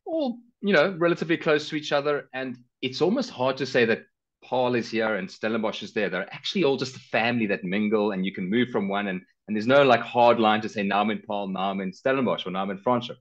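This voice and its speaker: A man's voice: on the low side, fast. A middle-aged man with a South African accent, talking casually as a travel guest in an interview. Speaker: travel guest, car rental company director. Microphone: headset on a video call. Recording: slightly dull video call audio.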